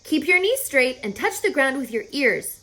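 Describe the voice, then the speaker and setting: A woman voicing a kindly, bossy, quick-witted female spider in a children's storybook, articulate and telling tales with relish. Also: high voice, sing-song, pushed and bright, roomy sound, pitched above the performer's own voice, full character voice. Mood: brisk, commanding. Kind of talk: children's storybook read-aloud.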